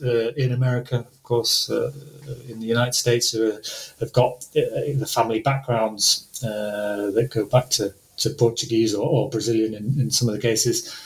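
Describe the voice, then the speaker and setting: A man's voice: mid-range voice, rough recording. A man from the UK, a translator speaking hesitantly with many fillers and restarts, then reading a story aloud.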